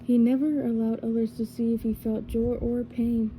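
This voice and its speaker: A woman reading a storybook in a gentle ASMR style, with slow, deliberate phrasing. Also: fairly high pitch, level tone, rough recording. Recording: faint background noise.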